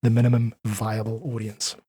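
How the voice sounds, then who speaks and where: Husky South African man explaining earnestly. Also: lowish voice, completely flat delivery, normal speed, mellow, dry recording. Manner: earnest, articulate, uses analogies. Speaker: podcast guest, independent musician. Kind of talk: conversational explaining in an interview.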